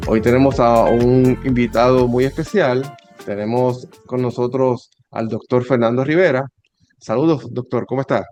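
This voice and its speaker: A man's voice: medium pitch, fairly even intonation, normal speed, a little mellow. A man hosting an interview podcast, friendly and a little hesitant, with fillers. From Puerto Rico. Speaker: podcast host. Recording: dry studio sound with faint music.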